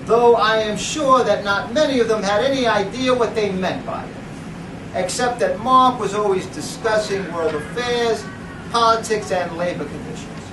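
A middle-aged man giving a dramatic, deliberate reading to a live audience, in a theatrical, declamatory way. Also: sits high. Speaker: presenter reading at a book event. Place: indoor library event room. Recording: roomy, noisy, distant camera microphone.

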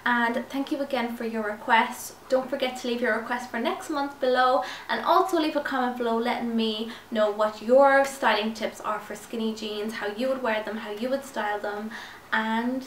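A woman's voice: fairly high pitch, steady tone, normal speed, slight background noise. A young woman in her twenties with a slight lisp from new braces, chatting upbeat fashion tips to her viewers. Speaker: fashion vlogger. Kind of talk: casual styling tips to camera. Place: small indoor room with clothes rail. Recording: echoey room, slightly distant mic.

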